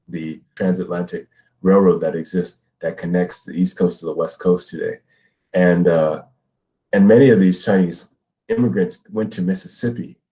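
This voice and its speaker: Male, American accent, very low voice, teacher giving a lecture, explanatory delivery. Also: mellow, low-quality recording. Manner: explanatory, often checks with "right?". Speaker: middle school ethnic studies teacher. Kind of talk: educational lecture with read-aloud passages.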